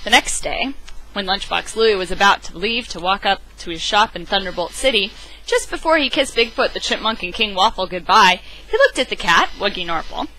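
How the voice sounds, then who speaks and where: A woman's voice: medium pitch, lively intonation, a little fast, sharp tone, poor audio. A woman giving a theatrical, emphatic read-aloud of a children's picture book, as an amateur storyteller on a webcam microphone.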